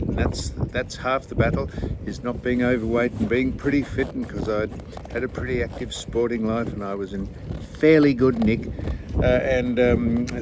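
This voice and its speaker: A man, chatting in a rambling, halting way full of fillers and restarts, with dry jokes. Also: mid-range voice, noisy background. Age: 70s. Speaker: vlog host. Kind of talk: casual interview chat. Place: outdoors on a boat.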